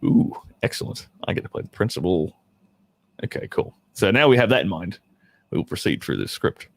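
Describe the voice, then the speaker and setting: A man's voice: low voice, very animated, a little fast, vocal fry, dry recording. A man chatting casually and informally to livestream viewers, thinking aloud as he reads from a script, with banter and some mild swearing. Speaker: adult film actor reading a script to viewers.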